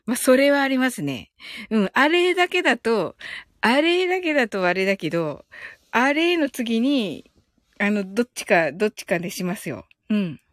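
A woman's voice: fairly high pitch, very animated, moderate pace, no room sound, clean studio sound. A woman hosting a casual live audio stream, chatting politely with her listeners and often breaking into giggles.

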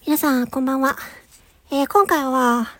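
A woman's voice: high voice, fairly even intonation, moderate pace, dry recording. A woman chatting casually in a food-tasting ASMR style, murmuring short remarks between mouthfuls and at times dropping toward a whisper. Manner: talks while eating ice cream. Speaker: audio streamer.

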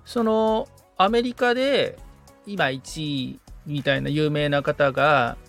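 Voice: medium pitch, takes it slowly, vocal fry, a bit bright, soft background music. A podcast assistant who asks the host curious, hesitant questions, with many fillers. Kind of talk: casual chat.

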